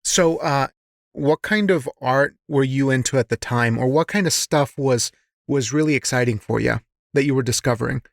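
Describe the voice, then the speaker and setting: A man's voice: medium pitch, normal speed, gravelly, no echo, clean studio sound. A man hosting a podcast, chatting casually and encouragingly as he interviews a guest.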